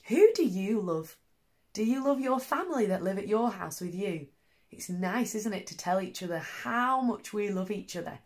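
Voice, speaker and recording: Woman, primary teacher, children's storytime, highly varied pitch, slow pace, child-directed questions, expressive. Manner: animated, asks tag questions, child-directed. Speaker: primary school teacher reading to young children. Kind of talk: expressive picture-book storytime with asides to children.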